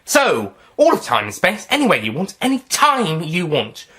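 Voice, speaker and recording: A man's voice: high voice, huge pitch swings, sharp tone, voice pushed up, exaggerated voice. Man doing a cheeky alien time traveller. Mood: warm. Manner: brisk, playful and sarcastic. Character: eccentric alien time traveller, cheerful and sarcastic.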